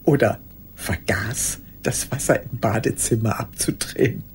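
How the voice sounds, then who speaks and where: An actress playing a lonely old woman who confesses haltingly and intimately in a radio drama monologue. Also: extremely low pitch, a little hiss or hum. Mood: wistful, hushed. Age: older. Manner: hesitant, halting, intimate, with hushed phrase endings.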